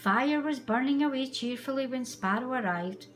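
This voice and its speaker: A woman reading a children's storybook aloud as an animated narrator, with music behind her. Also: high-pitched, normal speed. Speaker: storybook reader.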